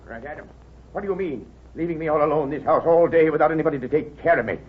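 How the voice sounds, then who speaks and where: An actor playing a crotchety, blustery small-town moneylender in a 1930s radio drama, his voice husky. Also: a little high, racing, over loud music, close to an everyday voice. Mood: indignant. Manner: grumbling, blustery, folksy. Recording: tinny, narrow 1930s radio broadcast.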